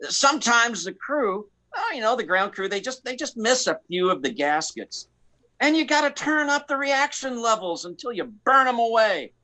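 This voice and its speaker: A man plays a cocky maverick starship pilot for radio comedy. The voice is bright and forceful, leaping up and down in pitch. He rambles and doubles back on his words. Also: very animated, heavily acted, low-quality recording. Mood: casual, exasperated. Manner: rambling, halting, repeats words. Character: cocky, rambling, free-spirited male maverick space pilot. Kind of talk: comic radio play acting.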